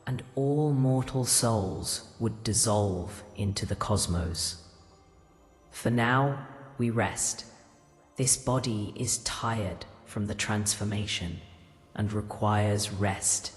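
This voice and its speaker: A woman playing an ancient goddess in a mortal body, grave, formal and portentous, in a fantasy audio drama. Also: very deep voice. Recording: long echoing reverb with music.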